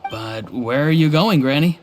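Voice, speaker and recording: Man as a mid-pitched deadpan young shaman. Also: moderate pace, a little hiss or hum, lightly acted. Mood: puzzled. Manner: matter-of-fact, mildly deadpan. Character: polite, blunt, laid-back young shaman grandson. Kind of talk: video game character acting.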